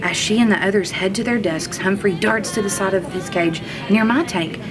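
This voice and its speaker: A woman reading a children's storybook aloud like a teacher, expressive, drawing out words for emphasis. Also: mid-range voice, moderate pace, poor audio. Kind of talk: children's storybook read-aloud, first-person narration. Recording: music under the voice. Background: background music.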